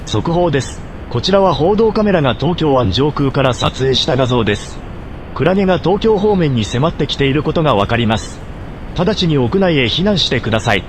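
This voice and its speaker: A man plays a formal breaking-news reporter in an audio drama. He delivers a scripted emergency bulletin with lively intonation over clearly audible rumbling noise. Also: brisk, close to an everyday voice. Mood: urgent, formal.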